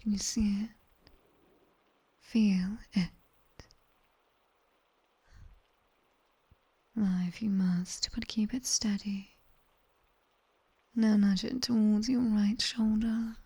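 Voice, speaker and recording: A sharp-tongued British nightmare demoness, played by a woman, tutors in an intimate ASMR roleplay. Her voice is very breathy and mostly whispered, soft and mellow, with some creak at the edges. She gives commands very slowly, with dry, teasing strictness. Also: mid-range voice, steady tone, full character voice. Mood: calm, commanding. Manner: slow, commanding, dryly teasing. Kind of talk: ASMR roleplay, guided body scan.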